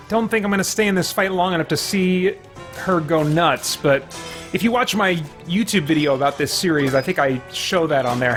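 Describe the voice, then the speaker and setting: A man's voice: a little high, a bit bright. A man hosting a video game livestream with casual, rambling commentary, breaking off mid-thought to react to the game and chat.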